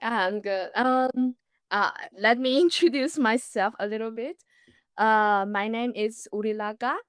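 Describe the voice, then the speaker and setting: A young woman from Inner Mongolia, a native Mongolian speaker, talking as a podcast guest and pausing often with "uh". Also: a little high, clear, resonant tone, dry recording, studio quality. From Inner Mongolia, China. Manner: hesitant, many "uh" pauses, laughs lightly. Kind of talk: casual interview talk.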